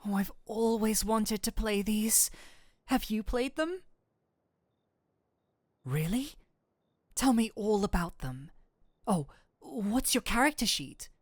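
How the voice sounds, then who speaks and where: A woman voicing a flirtatious incubus demon who is secretly an excitable nerd, in a scripted roleplay with theatrical, formal phrasing that slips into flustered gushing. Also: mid-range voice, takes it slowly, pauses often, dry recording, clean studio sound. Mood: gushing, delighted. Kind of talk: scripted roleplay audio addressed to the listener.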